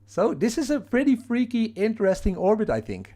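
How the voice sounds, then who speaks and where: A man with a Dutch accent talks loosely to his livestream chat from home, restarting sentences and chuckling as he goes. His voice sits a little on the high side for a man. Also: huge pitch swings, a little fast, warm tone. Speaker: livestream host.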